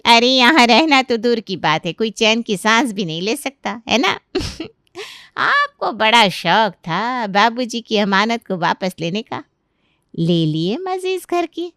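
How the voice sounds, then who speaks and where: A woman's voice: fairly high pitch, big pitch swings, slow, a bit bright, dry recording, studio quality, exaggerated voice. A woman playing a chatty, effusive female neighbour in a cartoon story. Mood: mocking. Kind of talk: Hindi cartoon story character voice.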